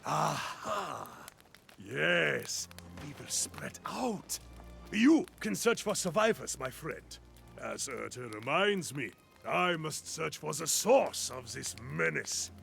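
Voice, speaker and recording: An actor playing a hearty, optimistic warrior mentor in a video game, with a gravelly voice and a boisterous, theatrical delivery. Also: male voice, a little high, very animated, slow, a bit bright, soft background music, full character voice. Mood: resolute. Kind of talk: video game cutscene acting.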